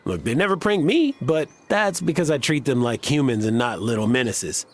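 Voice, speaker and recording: A man's voice: mid-range voice, animated, moderate pace, soft background music, close to an everyday voice. A man playing a breezy young cool uncle in a video game, casual and playfully cocky. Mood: playful bragging.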